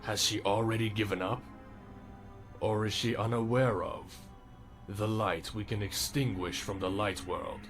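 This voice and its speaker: A man voicing a sinister, scheming villain's second in command in an amateur fantasy machinima, speaking formally and gravely with a creaky voice. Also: lowish voice, fairly even intonation. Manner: slow, formal, measured. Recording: home-recorded fan film, music underneath.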